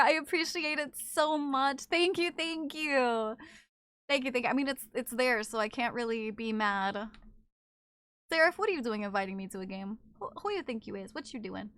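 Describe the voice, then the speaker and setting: Woman streamer, high and bright voice, animated and teasing, dry close sound. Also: no room sound. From not from Japan. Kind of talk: casual live-stream chat.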